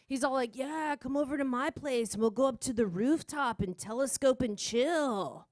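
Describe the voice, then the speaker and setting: A woman's voice: high voice, moderate pace, a little mellow, no room sound, natural voice. A woman playing a gossipy Renaissance-era lady doing a sarcastic, conversational stand-up routine peppered with "like". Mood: gossipy, indignant. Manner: conversational valley-girl sarcasm, full of "like".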